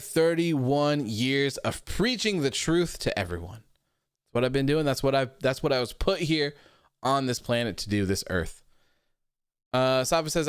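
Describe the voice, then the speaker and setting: A man's voice: mid-range voice, a bit bright, smooth, no room sound, clean studio sound. A man in his early thirties, hosting a livestream and chatting loosely, reading viewer comments aloud with lots of likes and ums. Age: 30s. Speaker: podcast host reading live chat. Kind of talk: casual livestream chat.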